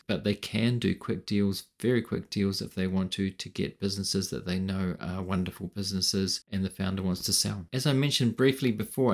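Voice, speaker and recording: A middle-aged man hosting an investing channel, talking alone to camera at home in a measured, explanatory way. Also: lowish voice. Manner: measured, explanatory, asks viewers questions. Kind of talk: solo commentary to camera. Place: room at home with window. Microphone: desk microphone in front.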